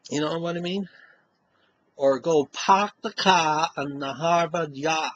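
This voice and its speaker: A man's voice: a little high, fairly even intonation, slow pace, fairly bright tone, rough recording. A man with a Western Massachusetts accent, talking casually to camera in a rambling way, often tacking on "you know?". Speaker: vlogger.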